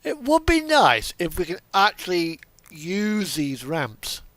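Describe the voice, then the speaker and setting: A man's voice: high voice, wildly swooping pitch, takes it slowly, a bit bright, no room sound. A man giving casual, rambling game commentary, thinking aloud and trailing off as he plays. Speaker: streamer playing a truck simulator.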